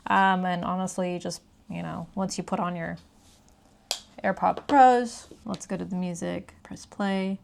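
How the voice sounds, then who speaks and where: A woman's voice: fairly low pitch, fairly even intonation, unhurried, vocal fry, darkish tone, a little hiss or hum. Casual woman walking through a gadget. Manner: explains step by step, conversational. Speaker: product reviewer. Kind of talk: casual hands-on gadget review chat.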